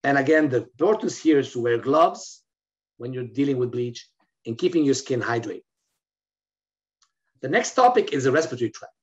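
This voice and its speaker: A man's voice: medium pitch, sing-song, moderate pace, pauses often. A middle-aged man from Lebanon giving a recorded medical lecture over a video call, explaining steadily with fillers and restarts. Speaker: physician lecturer. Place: indoor room, in front of a plain wall. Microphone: webcam on a video call. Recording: slightly dull, lo-fi video call audio.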